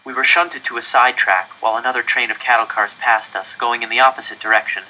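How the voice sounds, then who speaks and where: A man reading a story aloud in the first person, expressive and measured with dramatic pauses. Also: medium pitch, poor audio. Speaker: audiobook reader.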